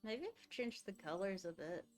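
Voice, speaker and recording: A woman's voice: mid-range voice, animated, normal speed, soft background music. A woman game streamer giving casual live commentary, musing aloud to herself as she plays.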